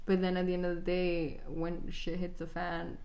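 A woman's voice: lowish voice, moderate pace. A young woman with a Mexican-American Texan accent, chatting casually as a podcast co-host and telling stories bluntly with plenty of profanity. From Texas. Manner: blunt, profane, animated storytelling. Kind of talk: casual chat.

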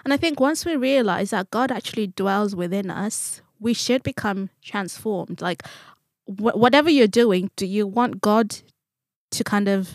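A woman's voice: mid-range voice, measured pace, no room sound, studio quality. A woman from Zimbabwe co-hosting a Bible study podcast in casual conversation, acting out remarks and asking rhetorical questions. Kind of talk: casual conversational religious discussion.

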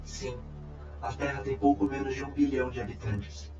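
A man playing a calm, courteous robot time traveler in an audio drama, speaking in formal, measured reports. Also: medium pitch, close to an everyday voice. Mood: calm, factual. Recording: echoey, dull amateur sound, music behind.

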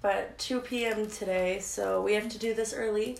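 A young adult woman co-hosting a casual chat podcast, with a slightly scratchy voice, playful and quick to laugh. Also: medium pitch, steady tone, gravelly. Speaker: podcast co-host, daughter. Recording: echoey room.

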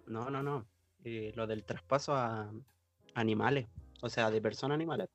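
A man's voice: mid-range voice, steady tone, moderate pace, soft background music. A man with a Chilean accent bantering and arguing casually as a panelist. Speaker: podcast panelist.

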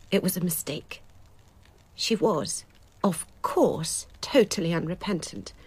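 An actress playing a stern, cultured classics academic in a British radio drama, formal, clipped and disdainful. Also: female voice, lowish voice, slightly rough.

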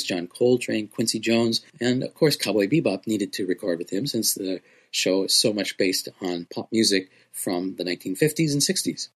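Male podcast presenter, lower register, informal and explanatory music commentary. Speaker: host of a music segment.

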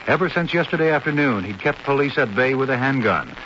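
Male newsreader, crisp, clipped, bright, lo-fi radio. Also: mid-range voice, expressive, fairly quick, a little hiss or hum. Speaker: network news reporter. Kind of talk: radio newscast. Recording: dull lo-fi radio recording.

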